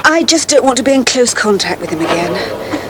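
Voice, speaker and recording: Spirited, well-spoken English horsewoman, radio soap. Higher voice, lively delivery, clear outdoor noise. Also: fairly quick. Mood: uneasy. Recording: noisy outdoor yard sound effects.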